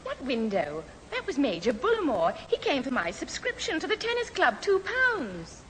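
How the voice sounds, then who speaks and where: A woman's voice: high voice, huge pitch swings, normal speed, a bit bright, heavily acted, low-quality recording. A woman playing a haughty, exasperated, bossy young older sister in a 1970s radio sitcom. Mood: furious. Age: young adult. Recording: hissy old radio recording, studio audience.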